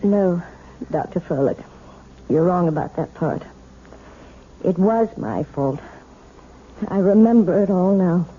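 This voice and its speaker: A woman's voice: lowish voice, animated, slow, long pauses, a little hiss or hum. An actress in a 1970s radio drama playing an insistent, guilt-ridden married woman confessing as a patient. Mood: remorseful, insistent. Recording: muffled old radio broadcast with music.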